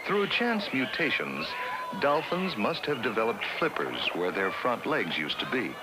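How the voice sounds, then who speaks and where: A man giving measured, scripted narration for a 1960s classroom educational film. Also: mid-range voice, sing-song, heavy background noise. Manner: measured, explanatory.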